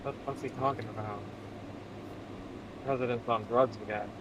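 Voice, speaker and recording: A man with an average-pitched voice chats casually among friends. There is a clear hum of noise behind him. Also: steady tone, moderate pace.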